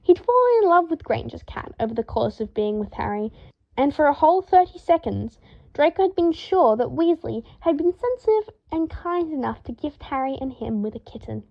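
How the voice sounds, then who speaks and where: A woman reading a story aloud in a lively, expressive way, acting out the dialogue. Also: sits high, creaky, lo-fi sound. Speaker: fan fiction reader.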